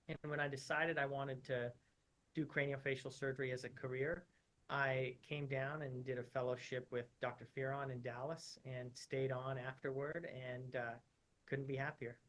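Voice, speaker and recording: A man's voice: medium pitch, poor audio. Laid-back male surgeon in his thirties, from Utah, chatty interview guest who peppers his answers with "like", mid-range voice. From Utah, USA. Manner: relaxed, chatty, uses "like" often.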